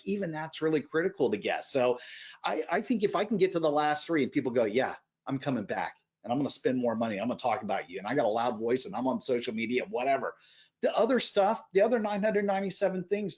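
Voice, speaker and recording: Energetic, emphatic man on a podcast. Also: mid-range voice, dull audio. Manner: energetic, emphatic, swings between loud bursts and quiet asides. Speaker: podcast guest. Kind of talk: animated conversational interview.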